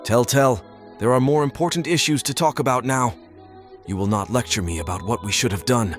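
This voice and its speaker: A man's voice: medium pitch, fairly quick, close to an everyday voice. A man playing a pompous, condescending owl politician with a menacing streak in an audio play. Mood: angry. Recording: music behind the voice.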